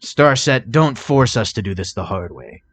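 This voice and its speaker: A man's voice: mid-range voice, animated, normal speed, a bit bright. A man voicing a smug male pony thief, arrogant and mocking, in a storybook reading. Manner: sneering, arrogant.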